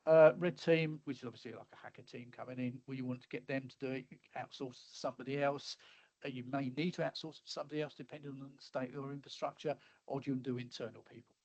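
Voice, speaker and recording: A middle-aged man giving a webinar talk over slides, halting and full of fillers and restarts, his voice a little hoarse as he keeps clearing his throat. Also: medium pitch, fairly even intonation, darkish tone, no echo. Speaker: webinar presenter. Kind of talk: casual presentation with slides. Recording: slightly dull lo-fi audio.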